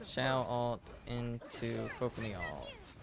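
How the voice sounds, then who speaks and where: A man streams a video game and talks through it casually as he plays. His mid-pitched voice is full of creak and gravel. He comes across as dry and deadpan, often poking fun at himself. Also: slow pace, heavy background noise. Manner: dry, self-deprecating, deadpan. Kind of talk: live gameplay commentary, casual chat.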